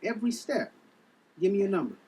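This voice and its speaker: A man's voice: sits high, sing-song, fairly quick, darkish tone, husky, exaggerated voice. Man acting a streetwise gym trainer, distant mic. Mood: teasing, flirty. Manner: casual slangy talk. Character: protective, flirtatious male gym trainer, streetwise. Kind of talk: table read of a screenplay. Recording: noisy, distant room recording.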